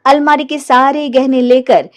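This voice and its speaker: A woman narrating a scripted animated moral story as a storyteller. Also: sits high, measured pace.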